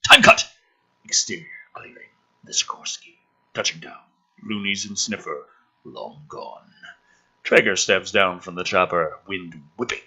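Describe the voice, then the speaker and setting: A man reading a film script dramatically and breaking off for sarcastic, profane commentary, with a rough-edged voice. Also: deep voice, unhurried, long pauses, pushed and bright, highs cut off.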